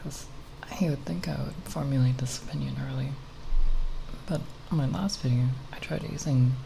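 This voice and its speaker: An ASMR creator speaking softly and breathily, half whispering in a halting, unscripted ramble with long pauses, close to the microphone. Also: medium pitch, slight background noise, low-quality recording. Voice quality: soft, breathy, partly whispered.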